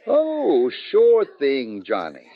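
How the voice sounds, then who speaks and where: An actor playing a boozy ex-doctor barfly in a radio detective drama, florid, rambling and wryly theatrical. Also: male voice, fairly high pitch, wildly swooping pitch, drawn-out words, muffled recording, exaggerated voice. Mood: wry, teasing. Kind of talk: old-time radio comic-relief acting.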